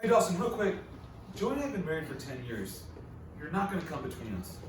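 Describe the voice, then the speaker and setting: A man acts an exasperated, jealous husband in a live comedy reading. His voice is high for a man and swings widely in pitch, caught at a distance in a very echoey space. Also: sing-song, fast talker. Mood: terse, warning. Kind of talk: comedy stage table read. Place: live reading in a performance room. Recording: very echoey noisy room, distant mic.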